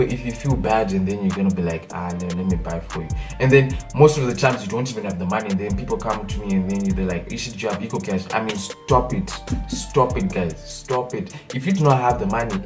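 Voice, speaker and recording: Animated Zimbabwean man ranting, distant mic. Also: lowish voice. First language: Shona. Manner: animated, exasperated, repeats himself. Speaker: vlogger. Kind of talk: casual comedic vlog rant.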